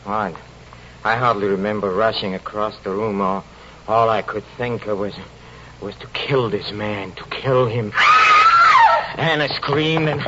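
An actor playing a haunted, desperate stage magician, telling his tale haltingly with repeated words in an overwrought old-time radio style. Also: medium pitch, natural voice. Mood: frantic, horrified. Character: haunted, desperate male stage magician recounting a terrifying ordeal. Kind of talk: old-time radio drama acting, tormented first-person narration. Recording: muffled, noisy old radio recording.

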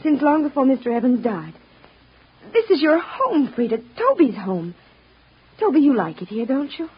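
Earnest, placating mother, very husky, animated delivery, muffled vintage radio broadcast. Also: high-pitched, moderate pace, heavily acted. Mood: pleading. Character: pleading, conciliatory widowed mother, lady of the house. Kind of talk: 1940s-50s radio acting. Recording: dull old radio broadcast recording.

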